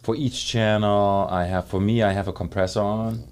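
A man's voice: fairly low pitch, steady tone, moderate pace, clear, resonant tone. A man hosting a livestream chat, curious and asking his guest lots of questions. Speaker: livestream host. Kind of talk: casual interview chat.

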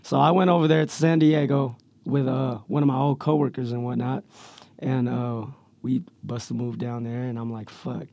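A man with a raspy voice, talking slangy and laid-back as a rapper guest in a casual interview, with lots of fillers. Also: mid-range voice, little pitch movement, normal speed, warm tone, no room sound. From Central Valley, California.

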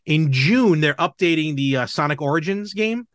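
A man's voice: fairly high pitch, expressive, moderate pace, a bit bright, no echo, clean studio sound. A man hosting a podcast, chatting casually and enthusiastically about retro video games. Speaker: podcast host.

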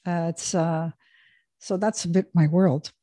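A woman's voice: lowish voice, steady tone, measured pace, warm tone, no echo, clean studio sound. A middle-aged woman from Switzerland, an executive coach, talking casually as a podcast guest with frequent fillers and restarts. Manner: hesitant, many fillers and restarts. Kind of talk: casual interview talk.

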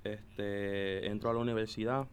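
A man's voice: fairly low pitch, little pitch movement, a little slow, clean tone. A man in his twenties with a Caribbean Spanish accent, telling his story casually as a podcast guest. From Dominican Republic and Puerto Rico. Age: 20s. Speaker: podcast guest, photographer and videographer.

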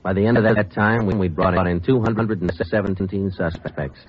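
A man's voice: sits low, normal speed, natural voice. A man playing a terse, matter-of-fact police detective who narrates his case in an old radio crime drama. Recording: muffled old radio broadcast with music.

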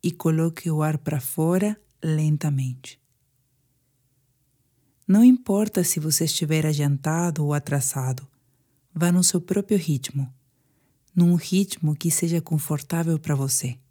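A woman with a Brazilian Portuguese accent leads a guided meditation for sleep. Her voice is low and soft-toned, with a dark, relaxed color. She speaks very slowly and soothingly, leaving pauses between short phrases. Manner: slow, soothing, hushed delivery with pauses.

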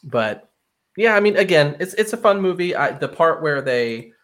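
A man's voice: on the high side, animated, moderate pace, a bit bright. A man hosting a podcast, debating films casually with an opinionated, conversational delivery. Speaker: podcast host.

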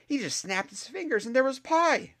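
A man's voice: very high-pitched, moderate pace, voice pushed up, full character voice. A man voicing an eager young schoolboy who blurts out what he saw. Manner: blurting, excited.

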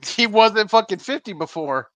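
A man's voice: sits high, animated, moderate pace. A man co-hosting a wrestling podcast, talking in casual, blunt, profane and teasing sports banter at a live show. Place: busy bar and grill during live show. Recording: live bar show with loud crowd. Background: bar crowd.

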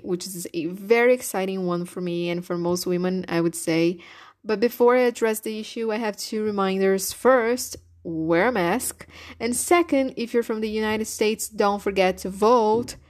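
A young woman from Brazil with a Brazilian Portuguese accent, her first language being Portuguese, hosting a solo podcast in an animated, chatty way with rhetorical questions. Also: medium pitch. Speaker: podcast host.

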